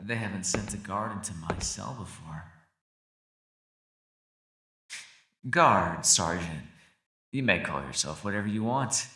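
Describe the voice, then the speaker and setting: A man performs a captive fox spirit in an ASMR roleplay, low-pitched and crisply bright. He is sly and mock-polite, taunting with theatrical, widely swooping intonation and quiet menace. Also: expressive, normal speed, slight background noise, exaggerated voice. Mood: sly, probing.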